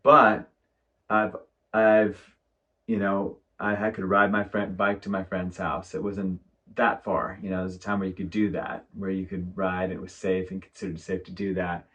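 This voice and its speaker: A man's voice: sits low, little pitch movement, moderate pace, bright, forceful tone. A man, an architect guest, talking thoughtfully in a rambling way with many fillers in a casual conversation. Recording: distant from the mic.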